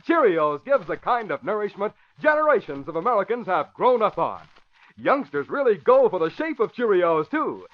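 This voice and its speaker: A man announcing in 1940s radio style, with a polished, emphatic salesmanlike delivery. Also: high-pitched. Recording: dull vintage radio broadcast recording.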